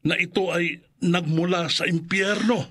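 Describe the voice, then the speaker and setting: A man's voice: high-pitched, steady tone, measured pace, a bit bright, husky, exaggerated voice. A man playing an ominous figure giving warnings in a radio horror drama, in an explanatory way.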